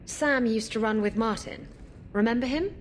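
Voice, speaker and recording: A woman's voice: on the high side, normal speed, slight background noise, close to an everyday voice. A woman playing a brash, evasive female pirate rogue in a video game, with a glib, wheedling, flippant manner.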